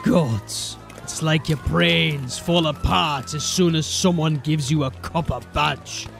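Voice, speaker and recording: Gravelly, swaggering gang boss. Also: male voice, fairly high pitch, slow pace, a bit bright, soft background music, full character voice. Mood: scornful. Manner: taunting, swaggering. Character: menacing, mocking criminal gang boss. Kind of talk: fantasy audio drama acting.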